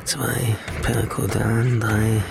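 A man playing a battered, desperate criminal on the run in a German radio drama, muttering and whispering haltingly to himself. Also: lowish voice, little pitch movement, vocal fry, full character voice. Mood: dazed, driven. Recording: loud music over the voice.

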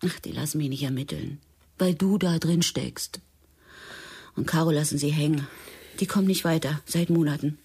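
An actress playing a driven, impatient police detective in a radio crime drama, speaking in a direct, reproachful, pressing way. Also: female voice, sits low, moderate pace, a little mellow, slightly rough, exaggerated voice.

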